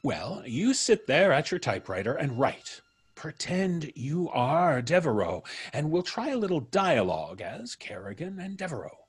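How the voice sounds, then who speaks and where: Old-time radio mystery visitor: husky, animated intonation, polite and probing, deliberate, a needling threat underneath. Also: male voice, on the high side. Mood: coaxing, commanding. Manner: deliberate, musing with frequent hums, needling. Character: polite, probing, quietly menacing male visitor.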